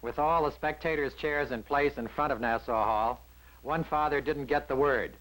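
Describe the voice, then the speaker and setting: A man with an American accent narrates a campus newsreel in 1960s style. His delivery is scripted and polished, with a wry touch, at a middling pitch. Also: moderate pace, a bit bright, low-quality recording.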